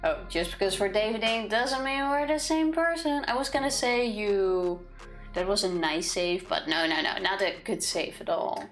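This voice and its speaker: Woman co-streamer, wry and casual, average pitch, music in the background. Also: moderate pace. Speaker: co-host streamer. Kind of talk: casual live game stream chat. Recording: music behind the voice.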